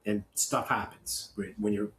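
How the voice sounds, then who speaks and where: A Canadian man co-hosting a podcast, talking casually and with opinion, in a halting, rambling way with self-corrections. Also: lowish voice, fairly even intonation, a bit bright, a little hiss or hum. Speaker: podcast co-host. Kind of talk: opinionated casual podcast talk, partly reading notes.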